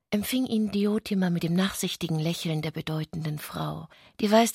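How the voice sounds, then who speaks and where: Woman, literary narrator, radio play, breathy half-whisper, creaky, very dry sound. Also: on the low side, no room sound.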